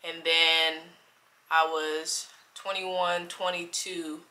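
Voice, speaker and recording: A woman's voice: sits low, little pitch movement, extremely slow, bright, forceful tone. A woman in her twenties chatting casually to her camera, rambling and stopping to correct herself. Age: 20s. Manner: rambling, loses track of the timeline and self-corrects. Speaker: vlogger. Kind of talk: casual chat.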